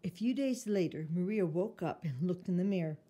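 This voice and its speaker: A woman reading a picture book aloud as an expressive storyteller for children. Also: fairly low pitch, brisk, slightly rough.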